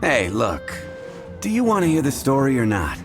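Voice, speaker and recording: An easygoing tavern bartender over music. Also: male voice, medium pitch, huge pitch swings, normal speed. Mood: teasing. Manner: conversational, wry, chuckling asides. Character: easygoing male tavern bartender sharing rumours. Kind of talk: anime game voice acting. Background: background music.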